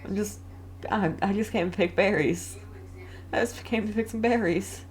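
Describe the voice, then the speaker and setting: A young man giving casual live game commentary as a streamer, halting and often repeating his phrases. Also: high voice. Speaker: gaming streamer.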